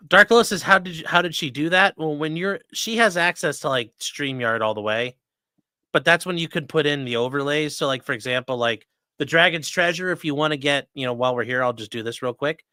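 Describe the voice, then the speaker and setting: A man's voice: a little high, brisk, bright, forceful tone, clear, resonant tone, dry recording. A man hosting a livestream, joking through sponsor reads and giving blunt, sarcastic opinions. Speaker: livestream host, defense attorney. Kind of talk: casual livestream commentary.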